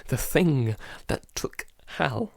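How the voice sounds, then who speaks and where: A man playing a paranoid, isolated man in an audio drama, halting and stammering, often sighing and dropping into whispers. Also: mid-range voice, lively intonation, gravelly, no room sound, full character voice. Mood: haunted, shaken. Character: paranoid isolated man unravelling under a creeping unseen menace. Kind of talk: audio drama monologue, fragmented confessional.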